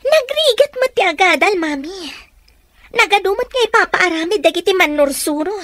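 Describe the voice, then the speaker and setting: A woman's voice: very high-pitched, expressive, normal speed, a bit bright, no room sound, studio quality. A woman playing a spoiled, lazy young daughter, whiny and demanding, with a Filipino accent, in radio drama style. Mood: whining, demanding.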